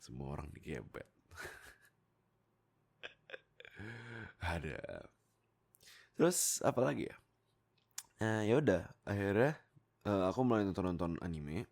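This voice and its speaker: A young man, rambling casually and excitedly about himself into a close microphone. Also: deep voice, slow, vocal fry, pauses often. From Indonesia. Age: young adult. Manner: rambling, animated, exclamatory, often breaking into giggles. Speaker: YouTube creator and amateur voice actor. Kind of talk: casual, unscripted storytelling about oneself. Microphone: close USB microphone.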